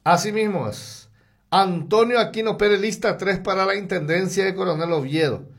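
A Paraguayan man on local radio, slipping between Spanish and Guarani as he rattles off ads. His voice sits high for a man and has a gravelly edge, with the volume rising and falling from phrase to phrase. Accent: Paraguayan Spanish, mixing in Guarani. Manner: rapid, sing-song pitch and loudness swings, listing items. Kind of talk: live radio ad reading.

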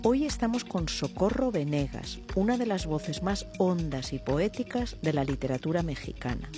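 A woman's voice: on the low side, expressive, moderate pace, over music. A woman hosting a book podcast, interviewing a guest in a museum with short affirmations. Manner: warm articulate host, brief affirmations. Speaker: podcast host. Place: art museum in Madrid.

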